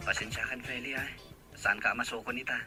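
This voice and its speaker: A man's voice: medium pitch, steady tone, a little fast, bright, forceful tone, faint music behind. A man playing a devoted, hesitant young boyfriend in an Ilocano audio drama. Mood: hesitant, apologetic. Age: young adult. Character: devoted young boyfriend planning a surprise anniversary proposal. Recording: noticeable background noise.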